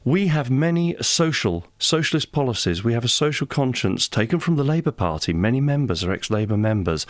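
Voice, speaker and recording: A man's voice: mid-range voice, sing-song, brisk, few pauses, no room sound, clean studio sound. A man in his sixties with a London accent, speaking emphatically as a political candidate in a radio interview, repeating phrases and asking rhetorical questions. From London area, England. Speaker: parliamentary candidate.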